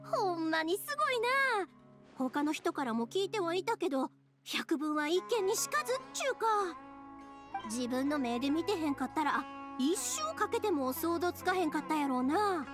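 Bubbly high-voiced Kansai girl. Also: normal speed. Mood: excited, amazed. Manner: excitable, chatty, friendly. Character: bubbly, warm-hearted young woman from a festive town. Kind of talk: anime game voice acting. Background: game music.

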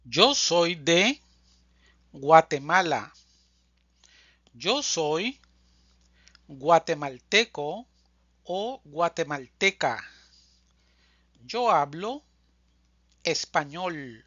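A language teacher reading a scripted drill slowly, enunciating deliberately phrase by phrase, as a close voice-over. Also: mid-range voice, sing-song, a bit bright, dry recording, lo-fi sound. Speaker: Spanish teacher.

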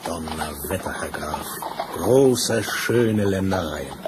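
Lively, stately fairy-tale king. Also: low-pitched, takes it slowly. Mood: admiring. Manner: stately, declamatory. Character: grand, genial, admiring king. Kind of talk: fairy-tale audio drama acting. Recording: noisy old vinyl transfer.

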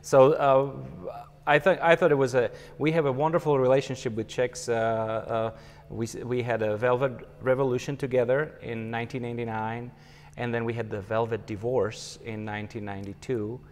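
A man's voice: mid-range voice, level tone, moderate pace. Slovak man, 40s, guest interview, hesitant and thoughtful, hall echo on a lapel mic. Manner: thoughtful, many hesitations and fillers. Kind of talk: conversational interview. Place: empty hall with a stage.

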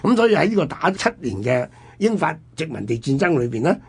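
A man's voice: a little high, big pitch swings, brisk, breathy edge. A man with a Hong Kong Cantonese accent telling history conversationally as a radio host, in an explanatory manner.

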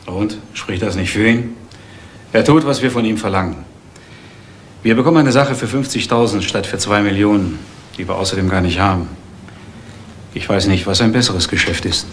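Man acting icy businesslike villain, deep voice, matter of fact, old radio crime play w slight hiss. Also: lightly acted. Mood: calculating. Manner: measured, formal, matter-of-fact. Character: cold, businesslike man commissioning a murder.

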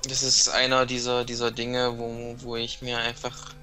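Bright-voiced man giving game tips. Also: medium pitch, monotone, normal speed, faint music behind. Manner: explanatory, restarts sentences, gives tips. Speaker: guest co-commentator. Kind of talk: casual gaming chat.

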